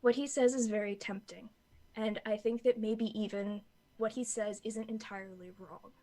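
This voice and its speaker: A woman playing a troubled female soldier at a tabletop game, speaking haltingly and restarting as she hunts for words. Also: mid-range voice, steady tone, dark tone, natural voice. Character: doubting woman soldier, earnest and unsure. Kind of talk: in-character tabletop roleplay.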